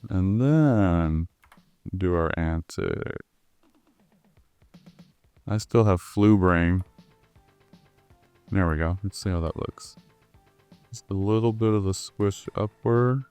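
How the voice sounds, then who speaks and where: Hoarse male tutor, low and unhurried, easygoing, with filler words. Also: dry recording, faint music behind. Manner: relaxed, with many ums and uhs. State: hoarse, recovering from flu. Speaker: animator. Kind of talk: screen-recorded tutorial walkthrough.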